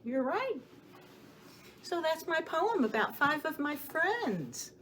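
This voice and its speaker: A woman hosting a story time for young children, speaking to them with questions and expressive emphasis as she shares rhymes and picture books. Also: sits high, takes it slowly, a little hiss or hum.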